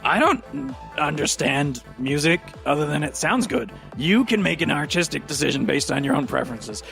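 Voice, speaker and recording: A man's voice: a little high, expressive, normal speed, vocal fry, fairly bright tone, faint music behind. A man playing an exhausted, eccentric media personality in a tabletop game, blunt, rambling and flippant. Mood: urging, rambling. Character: scruffy, exhausted, blunt, eccentric male media show host.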